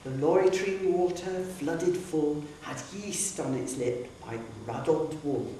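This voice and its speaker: A woman giving a dramatic recital of narrative poetry in a hall, with measured, deliberate phrasing. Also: low voice, mellow. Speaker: poetry reader. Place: public hall. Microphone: distant microphone. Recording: very echoey hall, distant mic, faint hiss.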